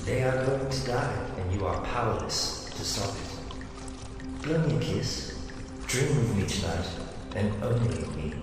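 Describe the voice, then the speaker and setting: A man's voice: fairly low pitch, expressive, measured pace. An actor playing a menacing, amorous male villain in an audio drama, speaking in a theatrical, taunting and seductive way. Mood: taunting menace. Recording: long cavernous reverb with music underneath. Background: dramatic music.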